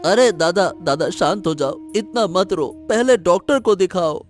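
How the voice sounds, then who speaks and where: A worried younger village brother with a fairly high voice, acted by a man. He sounds earnest and pleading, in cartoon story style with faint music underneath. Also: animated, moderate pace. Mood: concerned, soothing.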